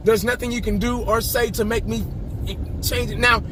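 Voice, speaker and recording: A passionate man ranting about his football team, with a slightly hoarse, scratchy voice, stumbling and repeating his words. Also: very high-pitched, steady tone, normal speed, a bit bright. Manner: passionate, repeats words when starting sentences. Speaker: sports fan vlogger. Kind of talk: casual fan rant to camera.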